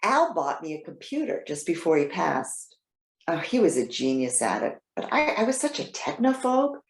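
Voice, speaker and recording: A woman playing a trusting, excitable elderly widow in readers theater, talking in a chatty, halting, earnest way. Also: low-pitched. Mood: proud, chatty. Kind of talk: readers theater stage acting. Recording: roomy, echoing sound.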